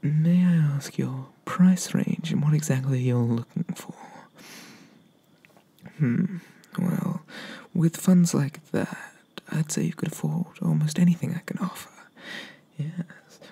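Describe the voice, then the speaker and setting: A man speaking softly in a breathy whisper close to the microphone, slow and courteous, roleplaying an eager weapons merchant for ASMR. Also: on the high side, animated. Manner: slow, hesitant, courteous sales patter. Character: polite, eager male weapons merchant obsessed with swords.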